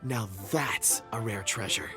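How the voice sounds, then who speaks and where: An actor playing a courteous, eager young gem enthusiast in a video game, speaking politely, earnestly and eloquently. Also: male voice, lowish voice, a little slow, over music, natural voice. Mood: enthusiastic.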